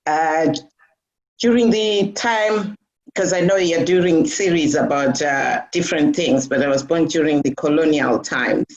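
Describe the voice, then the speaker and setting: A woman's voice: low voice, a little slow, a little mellow. A middle-aged woman from Zimbabwe being interviewed, talking with many fillers and restarts. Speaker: guest. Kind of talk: casual interview talk. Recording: dull lo-fi audio.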